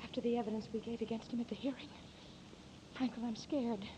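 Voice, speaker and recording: A woman's voice: fairly high pitch, steady tone, fairly quick, noisy background, lightly acted. An actress playing a frightened woman in a 1940s radio drama, pleading in panicky, breathless bursts. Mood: terrified. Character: frightened woman of the house, Ada. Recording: dull old radio recording.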